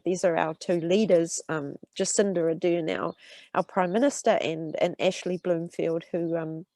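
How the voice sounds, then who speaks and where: A woman's voice: fairly low pitch, creaky, no echo. Sniffly New Zealand woman with a cold. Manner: warm explaining with many fillers and restarts. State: congested with a cold. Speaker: urban health researcher. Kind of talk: talk to students.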